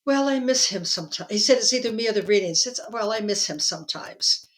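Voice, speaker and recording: A woman's voice: medium pitch, animated, brisk. An older woman in her sixties talks in a chatty, rambling way, often slipping into quoted asides. Her voice sits mid-range for a woman, and the talk is loose and conversational. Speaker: psychic and author, guest. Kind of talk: casual conversation.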